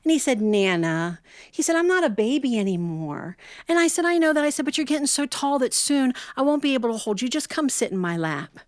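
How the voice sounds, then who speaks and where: A middle-aged woman telling humorous personal stories to an audience in a folksy, animated way, acting out bits of dialogue. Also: high voice, a little fast, no room sound, studio quality. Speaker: storyteller.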